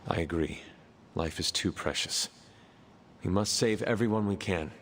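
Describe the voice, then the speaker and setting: A man's voice: low-pitched, unhurried, a bit bright, long reverb tail, a little hiss or hum. An actor playing an earnest, resolute young male warrior hero in a video game. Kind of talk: video game cutscene acting.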